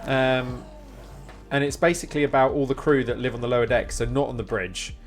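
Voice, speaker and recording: A man's voice: medium pitch, steady tone, a little fast, soft background music. A man live streaming a game, chatting casually in a rambling, jokey way. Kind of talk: casual gaming chat.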